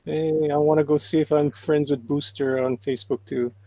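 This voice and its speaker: A man's voice: a little high, steady tone, moderate pace, warm tone, no echo. A man chatting playfully on a call. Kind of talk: casual group chat.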